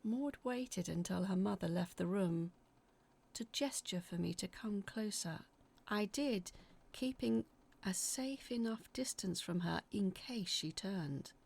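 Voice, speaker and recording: A woman acting as an anxious narrator, telling a scripted first-person horror story in a confiding, uneasy, dramatic way with a husky, creaky voice. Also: medium pitch, slow, a little mellow, heavily acted. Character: anxious woman reading out her frightened letters about sinister events.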